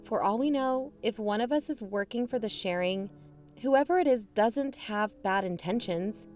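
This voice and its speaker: A woman in scripted audio drama dialogue, conciliatory and pleading, her pitch rising when anxious. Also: expressive, normal speed, a little mellow. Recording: music bed underneath.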